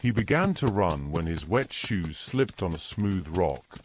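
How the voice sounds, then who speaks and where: A man reading scripted story narration in a measured storytelling manner. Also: low voice, very animated, background noise.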